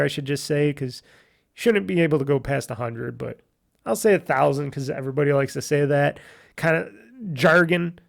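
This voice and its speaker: Raspy, gravelly-voiced man podcasting. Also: fairly high pitch. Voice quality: raspy, nasal. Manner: rambling, restarts and corrects himself. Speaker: podcast host. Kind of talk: casual solo podcast chat. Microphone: USB microphone.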